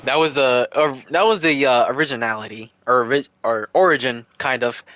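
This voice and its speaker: A man's voice: mid-range voice, brisk, fairly bright tone, dry recording. A man in his early twenties answering questions on a livestream, earnest and rambling, repeating himself as he thinks aloud. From United States. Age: 20s. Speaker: streamer. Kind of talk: casual livestream Q&A.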